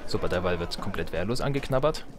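A man's voice: low voice, expressive, fast, background noise. A man giving casual, unscripted let's play commentary on a video game, rambling into self-justifying asides. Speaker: gaming YouTuber, let's player. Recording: game music behind the voice. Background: video game music.

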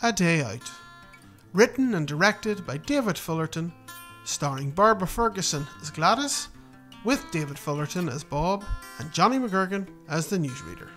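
A man's voice: high-pitched, lively intonation, normal speed, pushed and bright. Guy doing a formal newsreader for a radio drama, composed, bit of plucked guitar behind. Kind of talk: scripted news bulletin and credits reading.

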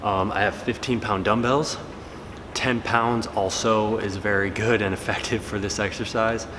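A man's voice: fairly low pitch, moderate pace, background noise. A man in his thirties giving casual workout tips to his camera in a large gym, with filler words. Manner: relaxed, talking between sets with fillers. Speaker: fitness vlogger and trainer. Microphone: camera-mounted mic, camera on a stand. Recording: reverberant gym hall.

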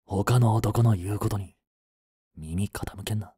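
A man's voice: lowish voice, steady tone, slow, warm tone, no room sound, clean studio sound, full character voice. Man acting a brash, jealous teenage delinquent. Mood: pleading, possessive. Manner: rough, slangy, brash. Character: jealous, hot-tempered teenage male delinquent student. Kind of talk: romantic audio drama acting.

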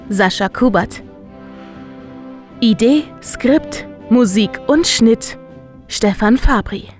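A woman's voice: on the high side, darkish tone. A woman reading scripted audio drama credits as an announcer. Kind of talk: scripted narration. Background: music.

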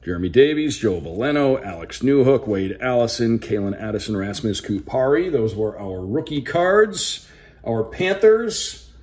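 A man's voice: mid-range voice, animated, moderate pace, darkish tone. A man doing casual unboxing commentary with an upbeat, announcer-like delivery, calling out names like a sports broadcaster. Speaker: hockey play-by-play broadcaster hosting a card unboxing.

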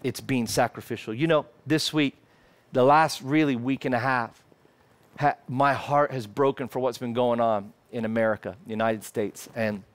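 A man in his thirties with an Australian accent, preaching a sermon into a headset mic on a church stage, emphatic and shifting between fervent and hushed. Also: mid-range voice, fairly even intonation, moderate pace. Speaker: pastor. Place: church stage in front of a lit screen.

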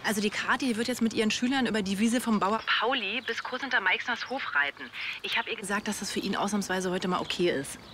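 An actress playing a businesslike young policewoman, speaking briskly. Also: mid-range voice, sharp tone, slight background noise, natural voice.

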